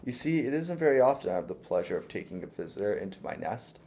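A man's voice: lowish voice, big pitch swings, quick pace, dark tone. A man voicing a gushing, fussy older landlady who is sweetly sinister, with a doting, sugary manner.

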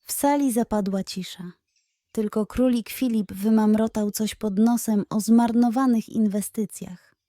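A woman storyteller reads a children's bedtime tale. Her voice is fairly high and soft in tone, and she takes her time with the scripted narration. The recording is dry and close. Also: a little slow, no echo.

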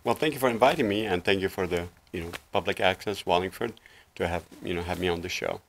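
A man in his sixties from Lebanon speaks in a very low voice with a gravelly edge. He tells his story earnestly and loosely, leaning on fillers, as a guest on a small TV studio set. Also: fast talker, fairly bright tone, a little hiss or hum. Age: 60s. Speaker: guest life coach. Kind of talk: casual interview talk.

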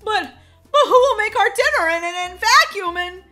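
Lazy, gushing man-child dad, played by a woman; high-voiced, animated, whiny, for game roleplay. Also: moderate pace, faint music behind, exaggerated voice. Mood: whiny dismay.

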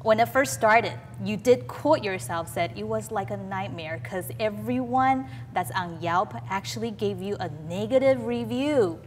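A woman's voice: mid-range voice, measured pace, few pauses. A woman in her thirties hosting a talk show, interviewing a guest and switching between Mandarin and English. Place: TV talk show studio set with sofas. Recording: reverberant room with background noise.

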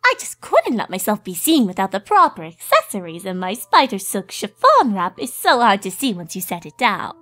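A woman's voice: medium pitch, wildly swooping pitch, moderate pace, few pauses, fairly bright tone. A woman voicing an elegant, fussy female unicorn with a refined, airy manner. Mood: apologetic, breezy.

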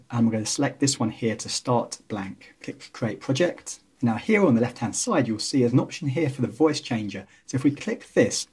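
A man with a husky voice narrating a software tutorial in a casual, explanatory way. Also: mid-range voice. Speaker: tutorial host.

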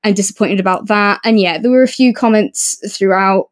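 A woman's voice: medium pitch, moderate pace. Woman chatting earnestly about books. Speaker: podcast co-host. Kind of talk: casual book discussion.

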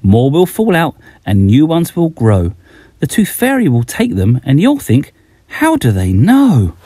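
Middle-aged man, children's storyteller, hugely varied intonation, mellow tone, dry close recording, expressive rhyming read-aloud. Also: on the high side, unhurried, no room sound. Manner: expressive, addressing young children.

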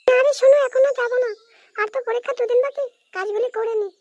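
Bossy cartoon big sis, pitched way up, squeaky, quick, earnest. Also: female voice, fairly even intonation, a little mellow, no room sound, muffled recording. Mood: insistent, caring. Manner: earnest, insistent advising. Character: diligent, caring, bossy schoolgirl big sister. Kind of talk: amateur cartoon dialogue.

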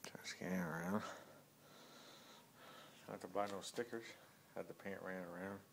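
A man's voice: sits low, steady tone, normal speed, gravelly, slight background noise. A man talking casually and plainly, with lots of fillers, while he walks through assembling a cargo carrier. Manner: plain-spoken, rambling, many fillers. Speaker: product reviewer. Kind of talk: casual product review vlog. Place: outdoors by a van on pavement.

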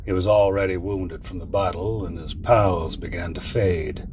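A man playing a sinister villain in an audio drama, recounting dark history in a deliberate, theatrical way. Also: low voice, steady tone, lightly acted. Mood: ominous. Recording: clearly noisy background ambience.

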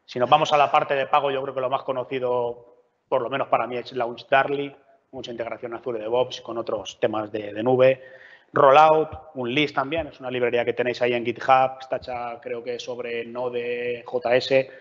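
A man's voice: medium pitch, little pitch movement, normal speed. A man from giving a conversational technical talk at a meetup, often checking in with the audience. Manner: conversational, frequent filler checks. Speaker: meetup speaker. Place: large meeting room. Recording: lo-fi roomy hall recording.

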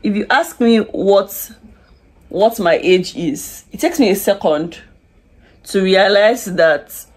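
Husky young woman, chatty vlog. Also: mid-range voice, expressive, slow, a little mellow. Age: 20s. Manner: chatty, confiding, lots of restarts and "like". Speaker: beauty vlogger. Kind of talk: casual get-ready-with-me chat. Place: small indoor room with curtains. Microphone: camera in front at close range.

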